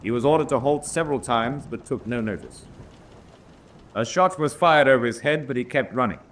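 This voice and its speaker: A solemn senior naval officer in a radio drama played by a male actor, addressing his men in formal, measured statements. His voice sits at a middling pitch, over faint background noise. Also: lively intonation, fairly bright tone, close to an everyday voice. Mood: grave, formal. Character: grave senior German naval officer, prisoner of war. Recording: noisy drama track with ambience.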